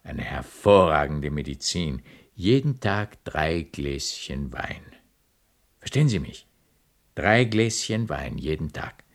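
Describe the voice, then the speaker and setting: An actor playing an authoritative, blunt retired military doctor in a radio play, with a gravelly voice. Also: male voice, exaggerated voice. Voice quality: very deep, gravelly voice. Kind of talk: German radio play acting.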